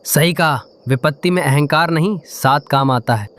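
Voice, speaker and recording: A woman voicing a friendly male pigeon in a cartoon, with a steady, encouraging manner. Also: sits low, normal speed, close to an everyday voice. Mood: approving.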